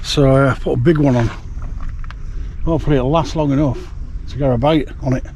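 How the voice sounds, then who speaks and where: A man's voice: mid-range voice, fairly even intonation, a little fast, darkish tone, a little hiss or hum. Chatty man outdoors at night. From England. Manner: rambling, chatty, with many fillers. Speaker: fishing vlogger. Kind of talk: casual update to camera.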